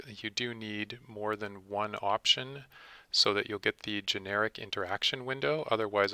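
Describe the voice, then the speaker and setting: A man narrating a step-by-step software tutorial in a methodical, instructional manner. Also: fairly low pitch, no room sound.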